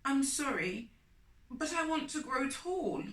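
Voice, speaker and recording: A woman's voice: sits high, sing-song, slow pace, a bit bright, voice pushed up, heavily acted. A woman voicing an earnest, guilty young boy in a children's storybook. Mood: apologetic, secretive. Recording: roomy echo, distant microphone.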